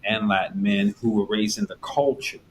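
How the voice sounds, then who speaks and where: A man hosting an interview, asking questions in an animated way with frequent affirmations. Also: fairly low pitch, moderate pace, a little hiss or hum, low-quality recording. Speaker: interview host. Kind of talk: casual interview conversation.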